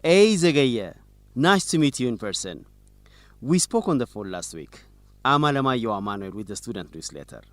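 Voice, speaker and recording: An actor playing a chatty, confident student newsletter reporter in an educational radio drama. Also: male voice, mid-range voice, sing-song, moderate pace, dry recording, natural voice. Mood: friendly, confident. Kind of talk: scripted radio drama dialogue.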